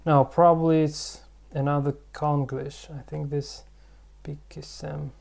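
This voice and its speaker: A man's voice: on the high side, flat delivery, unhurried, gravelly, a little mellow. A man hosting his own channel, rambling and thinking aloud in a casual explanatory vlog, with many fillers. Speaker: channel host.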